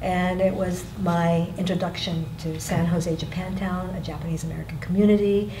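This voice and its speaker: Soft-spoken woman in a roomy hall. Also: on the low side, level tone, normal speed. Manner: reflective, laughs between thoughts. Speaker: interviewee, taiko member. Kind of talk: group interview, personal recollection. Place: museum meeting room, seated in a group. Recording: roomy, distant mic, faint room noise.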